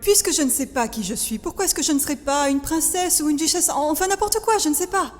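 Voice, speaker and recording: A woman dubbing a feisty, earnest young orphan in an animated film. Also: high voice, fast talker, natural voice. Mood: hopeful. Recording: long hall reverb, film music behind.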